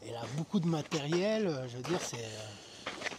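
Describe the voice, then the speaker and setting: A man's voice: a little high, very animated, normal speed, darkish tone, slight background noise. A French man who runs a cafe as a pastry chef, chatting casually and hesitantly, with many fillers as he explains things. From France. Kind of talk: casual chat.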